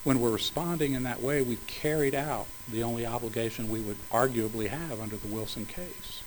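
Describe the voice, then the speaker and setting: Measured man arguing a legal case. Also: mid-range voice, a little hiss or hum, low-quality recording. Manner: measured, formal legal phrasing with occasional restarts. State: slightly hoarse, coughing. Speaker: attorney arguing for a city. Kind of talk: appellate oral argument.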